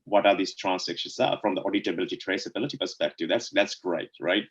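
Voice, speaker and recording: A man's voice: deep voice, quick pace, a bit bright, muffled recording. A man from Sri Lanka, a guest in an interview, answering casually and filling his talk with "like, you know" and "right?". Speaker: guest, DeFi co-founder.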